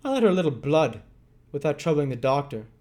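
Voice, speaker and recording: A man doing a brutal robber who barks his threats loud and gruff. The voice rides up and down with lively swings of pitch in a dramatic read-aloud story. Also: a little fast. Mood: grumbling. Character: brutal, bullying grown male robber.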